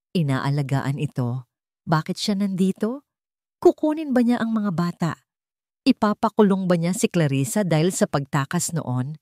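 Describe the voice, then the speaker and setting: A woman's voice: lowish voice, darkish tone, no echo, studio quality. A woman with a Filipino accent reading a scripted dramatic story as its narrator.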